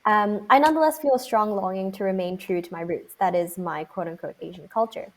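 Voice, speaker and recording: A woman's voice: mid-range voice, a little fast. A young woman from Singapore moderating a panel discussion over a video call, reflective, with frequent um fillers.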